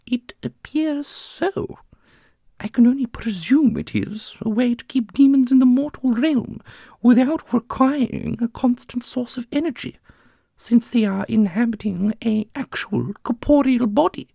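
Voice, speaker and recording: A woman's voice: a little high, a little slow, vocal fry, mellow, dry recording, clean studio sound. A woman playing a composed, knowing sage in a fantasy audio roleplay, with formal and theatrical delivery. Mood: composed, intrigued.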